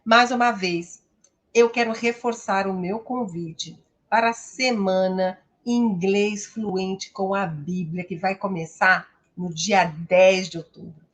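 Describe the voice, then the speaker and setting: A woman's voice: mid-range voice, steady tone, slow. A woman with a Brazilian accent speaking as an English teacher and host, giving an instructional, promotional talk in a didactic, emphatic manner with frequent tag questions.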